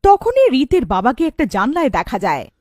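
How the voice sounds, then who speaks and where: A woman's voice: sits high, wildly swooping pitch, moderate pace, darkish tone, no room sound, clean studio sound. A woman narrating a scripted cartoon story as its storyteller.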